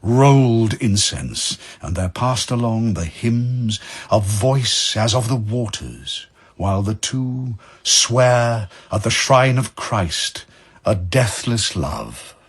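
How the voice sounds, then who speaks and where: Low, husky, solemn male narrator. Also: expressive. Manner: solemn, measured storytelling. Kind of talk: scripted dramatic verse narration.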